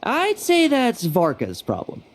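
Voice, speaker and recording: A woman's voice: a little high, wildly swooping pitch, unhurried, slight background noise. A woman playing a cocky, teasing young knight in a fantasy game, with a breezy, wry delivery. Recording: faint music behind the voice.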